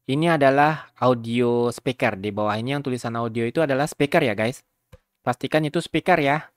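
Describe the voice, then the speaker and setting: A man hosting a casual software tutorial, chatting informally and rambling a bit as he addresses viewers. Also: mid-range voice, a little fast, no echo, clean studio sound. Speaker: video tutorial host.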